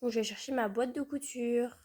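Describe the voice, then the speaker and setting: A woman's voice: a little high, steady tone, normal speed, a little mellow. A woman with a cold chatting hesitantly from her living-room sofa while doing a craft project. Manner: chatty, hesitant with many fillers. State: has a cold. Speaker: vlogger. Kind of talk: casual crafting vlog.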